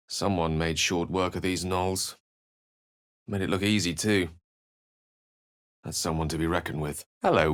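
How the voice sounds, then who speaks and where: A man's voice: sits low, a bit bright. An actor playing a witty, curious male wizard adventurer in a video game, speaking in dry, articulate asides. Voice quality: dry wit, wry and articulate. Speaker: companion wizard character.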